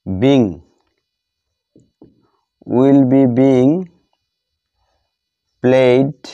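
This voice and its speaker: A man with a Bengali accent teaching grammar, dictating deliberately word by word and repeating phrases. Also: mid-range voice, warm tone, clear, resonant tone, no echo. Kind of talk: instructional lesson, bilingual grammar explanation. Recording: dull lo-fi sound.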